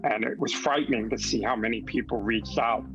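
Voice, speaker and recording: A man speaking candidly as an interview guest, earnest and reflective, with halting restarts. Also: medium pitch, fairly even intonation, dull audio, over music. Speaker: magazine editor, interview guest.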